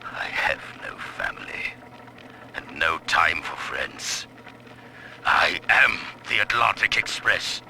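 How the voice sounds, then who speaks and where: Bitter, despairing railway magnate for game audio diary; extremely deep, thick creak, noisy recording. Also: male voice, expressive, slow, pushed and bright, full character voice. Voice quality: gravelly. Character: despairing middle-aged railway tycoon, proud and bitter. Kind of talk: dramatic game audio-log monologue.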